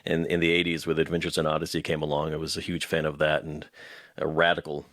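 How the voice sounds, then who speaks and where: Deep-voiced male interviewer, dry sound. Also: monotone, fast, a bit bright, no echo. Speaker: interviewer, host. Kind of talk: conversational interview.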